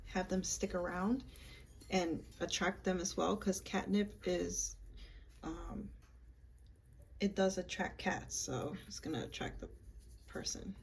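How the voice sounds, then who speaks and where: Gravelly-voiced woman casually guiding a spell. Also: lowish voice, slight background noise, rough recording. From United States, Puerto Rican and Peruvian family. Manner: chatty and halting, many ums and likes. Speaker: witchcraft vlogger.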